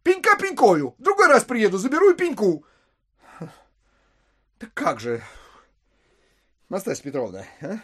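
An actor playing a smooth-talking but irritable gentleman swindler in an audio drama, wheedling and easily exasperated. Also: sits high, huge pitch swings, a little slow, long pauses, exaggerated voice. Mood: impatient. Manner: polite wheedling that turns exasperated. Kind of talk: audio drama acting of classic literature.

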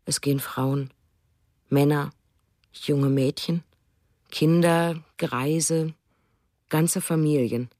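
A woman playing a quietly uneasy eyewitness in a radio drama, giving hushed, deliberate testimony. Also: low voice, fairly even intonation, no room sound, clean studio sound.

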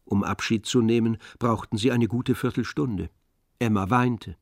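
A man playing the composed, observant narrator of a literary radio drama, with measured delivery. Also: medium pitch, fairly even intonation, dry recording, studio quality.

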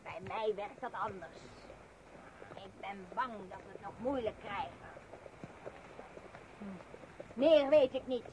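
An actress playing a talking wolf, a modest yet cheeky servant companion in a radio drama. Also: female voice, mid-range voice, takes it slowly, long pauses, lightly acted. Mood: uneasy. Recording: clear background noise.

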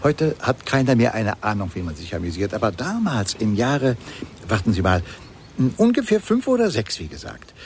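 An actor playing a nostalgic, garrulous old-timer of the jazz days in a radio drama, creaky, rambling and confiding. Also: male voice, on the low side, very animated, moderate pace, a little hiss or hum.

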